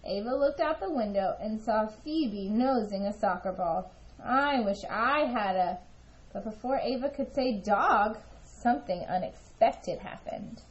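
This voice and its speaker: A woman narrating a children's picture book aloud in an expressive storytelling style. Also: mid-range voice, unhurried, lo-fi sound. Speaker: storybook reader.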